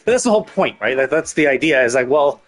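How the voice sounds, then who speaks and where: Guy streaming a story game, rambles and thinks out loud, mid pitch, bit of music behind. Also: animated, fast. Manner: mild casual swearing. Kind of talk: casual live commentary on a story game. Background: game music with guitar.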